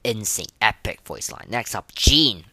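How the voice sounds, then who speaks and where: A man's voice: fairly low pitch, animated, bright, forceful tone, breathy edge, no room sound. Male podcast host, casual reaction commentary. Swinging intonation, informal stop-start delivery, close dry sound. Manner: informal, halting, filler-heavy rambling. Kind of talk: casual reaction commentary, rating game voice lines.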